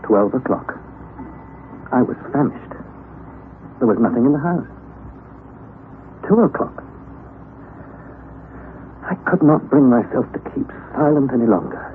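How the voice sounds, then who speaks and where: An earnest young nephew played by a man speaks with formal diction and a skeptical streak. His delivery is expressive vintage radio acting with lively swings of pitch. The recording is tinny and clearly noisy. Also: measured pace, pauses often, natural voice. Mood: grave, storytelling.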